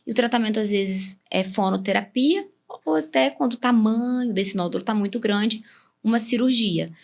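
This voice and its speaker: A woman's voice: on the high side, normal speed, creaky. A woman ear, nose and throat doctor with a Brazilian Portuguese accent, explaining health matters step by step with simple comparisons as a podcast guest. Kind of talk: explanatory interview talk.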